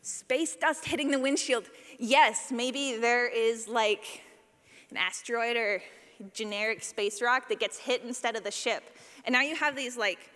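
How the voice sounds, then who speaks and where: A woman giving a live workshop talk as a sound designer, animated, playful and informal, acting out examples as she goes. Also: fairly high pitch, unhurried. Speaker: session presenter, podcast sound designer. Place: large indoor session room. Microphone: presentation microphone. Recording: echoey hall, live presentation.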